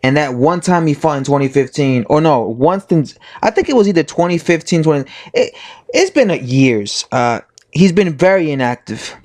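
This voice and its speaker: A man talks casually with a hoarse, slightly sick voice. He rambles through his opinions as a boxing vlogger. He stops to correct himself and fills gaps with "uh" and "like". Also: a little high, expressive, moderate pace, a little mellow. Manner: rambling, full of fillers and self-corrections.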